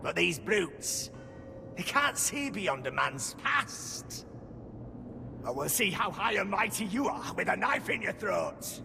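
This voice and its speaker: An actor playing an embittered, scheming outlaw in a fantasy game, pleading and vengeful, with a rough, wheedling manner. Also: male voice, high-pitched, sing-song, takes it slowly, exaggerated voice. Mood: pleading, bitter. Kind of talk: dramatic fantasy game acting. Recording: music behind the voice.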